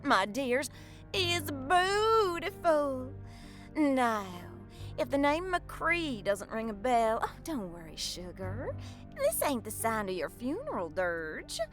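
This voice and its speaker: A woman's voice: fairly high pitch, a bit bright. A woman playing a sultry horror hostess. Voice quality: breathy and purring, with flirty laughs. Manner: innuendo-laden, drawling, teasing. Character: sultry, bawdy female horror hostess, teasing. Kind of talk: campy late-night horror hosting.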